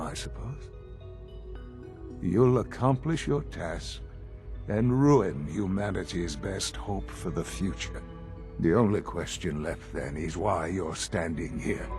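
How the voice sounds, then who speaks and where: An actor playing a weary, bitter old scientist near death in a game, gravelly and resigned. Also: male voice, low-pitched, animated, heavily acted. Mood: bitter resignation. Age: older. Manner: slow, resigned. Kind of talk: dramatic game cutscene acting. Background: music.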